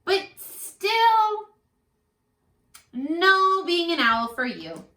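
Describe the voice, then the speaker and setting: A woman's voice: very high voice, very animated, drawn-out words, bright, forceful tone, echoey room, voice pushed up, heavily acted. A woman voicing a grown-up father frog from a children's storybook, teasing and firm, with emphatic point-by-point delivery. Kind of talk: children's storybook read-aloud.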